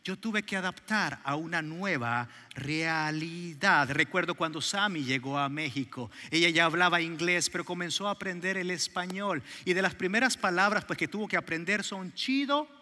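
A man's voice: a little high, moderate pace, hardly any pauses, sharp tone. A middle-aged man from El Salvador with a Salvadoran Spanish accent, preaching a sermon and telling stories with jokes for the congregation in a large church hall. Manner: storytelling, joking with the congregation. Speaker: pastor. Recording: long hall reverb.

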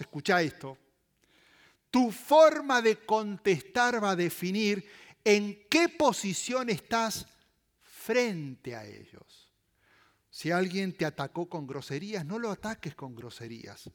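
A man preaching a sermon as a pastor in Latin American Spanish with voseo, emphatic and deliberate, repeating key phrases and swinging between loud and hushed delivery. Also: sits high.

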